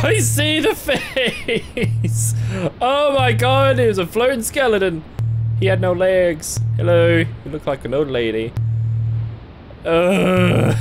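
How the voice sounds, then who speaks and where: A young man in his twenties streaming a horror game on a headset mic, reading the screen aloud, joking and yelping at the scares. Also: high-pitched. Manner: jokey, reads on-screen text aloud, laughs and yelps at scares. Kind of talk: horror game let's play commentary. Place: small dark room indoors.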